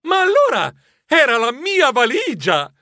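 An actor playing an excitable, anxious mouse who tells his own story in a children's audiobook, dramatic and expressive. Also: very high voice, unhurried, no room sound, studio quality, heavily acted. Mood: narrating, weary. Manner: dramatic, expressive, breathless exclamations. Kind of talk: children's audiobook first-person narration.

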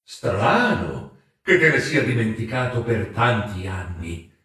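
A man voicing a slow, echoing childlike ghost. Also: on the low side, voice pushed down, full character voice. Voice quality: soft, fluting, distant. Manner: slow, calm, enigmatic. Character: gentle mysterious childlike ghost.

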